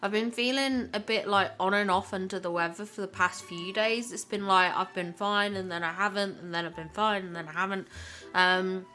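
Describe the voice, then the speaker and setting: A woman's voice: medium pitch, fairly even intonation, normal speed, sharp tone, faint music behind. A young woman hosting a casual gaming vlog, chatty and enthusiastic and slightly congested from a cold. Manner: chatty and enthusiastic, repeats words for emphasis. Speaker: gaming channel host. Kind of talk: casual game commentary vlog.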